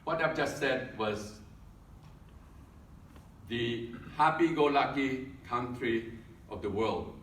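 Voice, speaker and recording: A man with a Filipino accent giving a humorous prepared speech at a contest, joking in a dry way and pausing for laughs. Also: mid-range voice, slight background noise. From Philippines. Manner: deadpan joking with pauses for laughs. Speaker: contest speaker. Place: meeting hall. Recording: echoey room from a distance.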